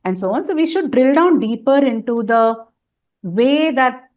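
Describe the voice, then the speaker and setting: A woman's voice: high voice, animated, a little slow, darkish tone. A middle-aged woman, a guest in a candid interview, talking at length with emphasis and frequent fillers. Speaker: interview guest, nonprofit governance advisor.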